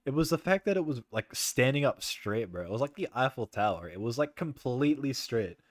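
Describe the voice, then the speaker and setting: A young man streaming and chatting loosely with viewers, rambling with lots of "like" and "bro" and frequent laughter, in a soft voice. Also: mid-range voice, sing-song, a little fast, dry recording, clean studio sound. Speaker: livestreamer. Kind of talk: casual chat with stream viewers.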